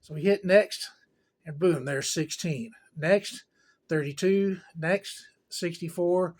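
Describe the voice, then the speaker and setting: A man's voice: fairly high pitch, takes it slowly, a little mellow. A man giving a casual hands-on tech tutorial in a folksy, rambling way with informal grammar. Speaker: tutorial presenter.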